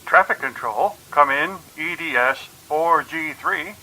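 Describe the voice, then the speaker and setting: An actor playing an intense, official space traffic controller making drawn-out commanding calls in a radio play. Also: male voice, a little high, huge pitch swings, vocal fry, pushed and bright, exaggerated voice, low-quality recording. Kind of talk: radio play launch countdown announcing.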